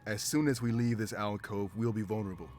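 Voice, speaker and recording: An actor playing a protective, pragmatic bodyguard in a visual novel game, speaking in an earnest, measured, commanding way. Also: male voice, fairly low pitch, fairly even intonation, darkish tone, soft background music, close to an everyday voice. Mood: firm, urging. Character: male protective bodyguard, firm, pragmatic, calm under danger.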